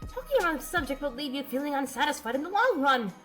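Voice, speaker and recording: A woman plays an abrasive cartoon goose office lady, high and swooping in pitch. She is brash and pushy, full of office jargon, over clearly audible music. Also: very animated, fast, fairly bright tone. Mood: chatty, forthright. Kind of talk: animated comedy comic dub. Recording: music behind the voice.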